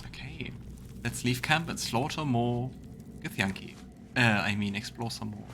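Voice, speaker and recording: A man's voice: medium pitch, measured pace, gravelly, background noise. A man hosting a let's play, giving casual game commentary with wry, joking asides. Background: game ambience.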